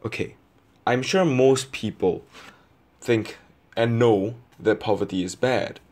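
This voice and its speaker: A man from Indonesia giving a reflective personal monologue as a video essayist, with deliberate pauses and repetition for emphasis. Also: medium pitch, darkish tone.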